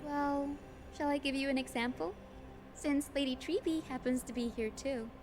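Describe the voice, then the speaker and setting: A woman playing a gentle but resolute young healer heroine in a video game, speaking earnestly. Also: high-pitched, steady tone, normal speed, natural voice. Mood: reflective, earnest. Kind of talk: scripted game dialogue. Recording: music playing behind the voice.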